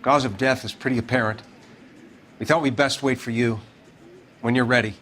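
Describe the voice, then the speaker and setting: A man's voice: medium pitch, steady tone, normal speed, fairly bright tone, slight background noise, natural voice. A man playing a weary, matter-of-fact coroner in a video game, speaking in a dry, clinical way.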